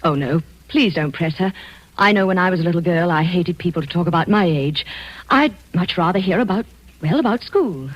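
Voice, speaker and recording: An actress playing a genteel, soothing, gently probing family friend in an old-time radio drama, speaking earnestly and coaxingly. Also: female voice, on the low side, expressive, a little fast, slightly rough, dry recording. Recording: dull old radio recording, faint music.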